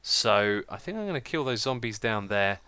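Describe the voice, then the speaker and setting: Chatty, slightly croaky British man. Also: lowish voice, big pitch swings, normal speed, sharp tone, no room sound. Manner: chatty, self-mocking, laughs at own slips. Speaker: let's play host. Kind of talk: casual gameplay commentary.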